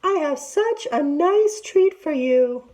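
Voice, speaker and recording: Woman putting on a sugary, very high-pitched tiger auntie. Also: animated, takes it slowly, darkish tone. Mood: sugary coaxing. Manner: syrupy, coaxing, drawn out. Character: greedy male tiger posing as a sweet auntie. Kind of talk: children's storybook read-aloud.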